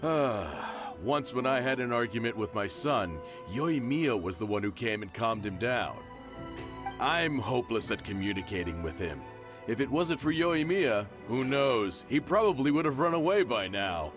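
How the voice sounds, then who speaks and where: A man's voice: medium pitch, big pitch swings, moderate pace, lightly acted. Man voicing jovial kindly shopkeeper. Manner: jovial, generous, chuckling. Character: kindly middle-aged male shopkeeper and father. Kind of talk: English game dub. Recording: game audio with music behind.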